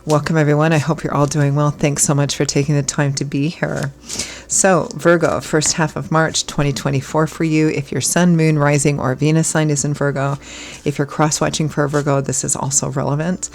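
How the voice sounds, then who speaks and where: A hoarse woman giving a casual tarot reading as host, chatty and reassuring, her voice still froggy from illness. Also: low-pitched, steady tone, brisk, a little mellow. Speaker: tarot reader.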